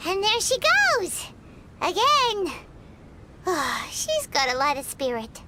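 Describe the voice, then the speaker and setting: Squeaky, excitable little fairy companion. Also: female voice, slow pace, very noisy, exaggerated voice. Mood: amused, admiring. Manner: chirpy, exclaiming, refers to self in third person. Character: small chirpy fairy companion girl, excitable, speaks of herself by name. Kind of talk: video game character acting. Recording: music playing behind the voice.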